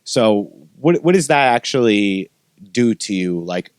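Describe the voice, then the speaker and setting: A man's voice: fairly low pitch, lively intonation, unhurried, dry recording. A man hosting a podcast in a casual, conversational style. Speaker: podcast host. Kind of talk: casual chat.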